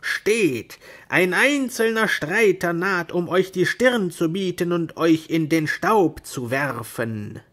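A man's voice: high voice, lively intonation, slow pace, a bit bright, pitched above the performer's own voice, full character voice. A man voicing a proud, deluded knight-errant in a grandiose, declamatory manner for a storybook. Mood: defiant, battle-hungry.

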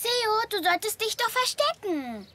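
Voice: very high voice, very animated, measured pace, exaggerated voice. A performer voicing a playful, eager little girl in a German-dubbed children's cartoon, with a childlike manner. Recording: very loud music behind.

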